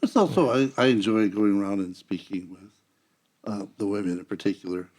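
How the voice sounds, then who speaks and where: An American man with a husky, gravelly voice, talking casually in a group conversation. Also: on the low side, fairly quick, darkish tone.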